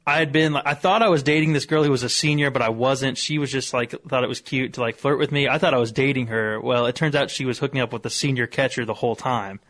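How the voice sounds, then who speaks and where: A man's voice: medium pitch, fast, few pauses, fairly bright tone. An American man telling a casual, confessional story in a self-deprecating manner.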